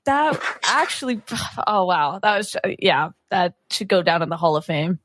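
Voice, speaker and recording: A woman's voice: on the low side, expressive, moderate pace, fairly bright tone, no echo. A woman chatting casually as a tabletop game player, exclamatory and teasing. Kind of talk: casual chat.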